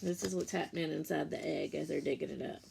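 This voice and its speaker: A woman reading a picture book to children like a teacher, in simple, deliberate phrases, pausing to explain and ask questions. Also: low voice, steady tone, vocal fry, darkish tone, slight background noise. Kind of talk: read-aloud storytime with explanatory asides.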